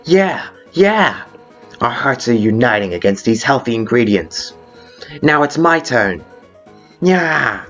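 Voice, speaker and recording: A man's voice: mid-range voice, sing-song, slow pace, a bit bright, dull audio. A man voicing a brash, hot-headed female monster guard captain with blunt, informal delivery in a video game fan dub. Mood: fired up. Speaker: character voice actor in a fan dub. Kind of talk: dramatic video game dialogue. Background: background music.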